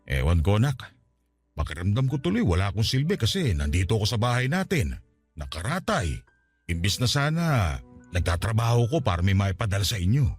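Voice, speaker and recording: Weary father with wildly swinging pitch. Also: very animated, a little fast, breathy edge, faint music behind. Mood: weary, dejected. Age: 50s. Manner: exasperated, reproachful. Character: weary, bedridden fifty-year-old seafarer father, fed up. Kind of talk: Tagalog radio drama acting.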